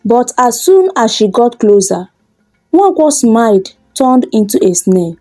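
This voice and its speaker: A woman narrates a folktale in a high, very animated voice. She reads slowly, like a storyteller, in a soft mellow tone over faint music. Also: no room sound.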